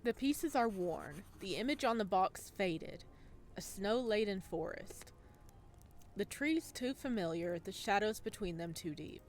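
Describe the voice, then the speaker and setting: A woman's voice: medium pitch, animated, a little slow, faint music behind. A woman playing a visual novel, reading it aloud and chatting with reactions as she goes. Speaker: video game streamer.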